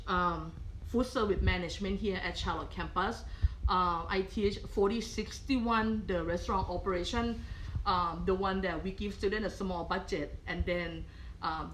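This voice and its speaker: A woman's voice: medium pitch, fairly bright tone, low-quality recording. Middle-aged Thai-accented woman, patient cooking instructor, kitchen clatter and faint hiss under her. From Thailand. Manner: patient, checks in with frequent "okay?". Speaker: culinary professor. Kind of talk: live cooking demonstration.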